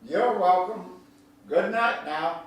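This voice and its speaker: A man's voice: sits high, takes it slowly. An actor playing a folksy older country man, a kindly, teasing storyteller with a homespun drawl, in a theatrical staged reading. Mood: playful, mock alarm. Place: large echoey indoor room at a staged reading. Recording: echoey hall, distant mic, faint noise.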